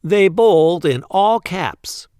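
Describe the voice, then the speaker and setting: Male storyteller for a picture book: lively, swooping intonation, unhurried delivery, playful emphasis, close dry sound. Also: high-pitched, clear, resonant tone, no echo. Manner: deliberate, playful emphasis on repeated sentences. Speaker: read-aloud storyteller. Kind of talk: expressive picture book read-aloud.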